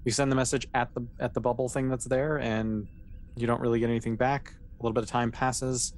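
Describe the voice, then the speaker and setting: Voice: medium pitch, level tone, a little fast, darkish tone, slight background noise. A game master narrating a tabletop game off the cuff, explaining things in a loose, conversational way full of asides.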